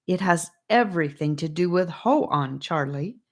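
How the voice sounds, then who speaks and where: A woman voicing a serious, wise male guardian who speaks calmly. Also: on the low side, measured pace. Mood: earnest. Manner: calm and serious.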